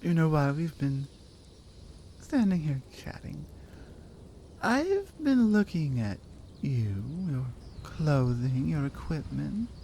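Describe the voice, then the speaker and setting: A woman's voice: sits low, very animated, dark tone, slight background noise, heavily acted. A woman playing a strong, teasing Nordic warrior in an ASMR roleplay, with a Nordic accent and a dry, playful, skeptical manner. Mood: playful, candid. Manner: playful, dry, conversational with pauses. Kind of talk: ASMR roleplay audio drama.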